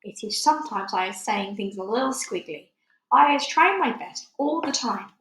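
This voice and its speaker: A woman voicing a very old, good-hearted male giant from a children's storybook, excitable and easily wounded, talking in muddled grammar and made-up words. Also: a little high, moderate pace. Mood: forlorn, apologetic. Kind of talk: children's storybook read aloud. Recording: echoey room.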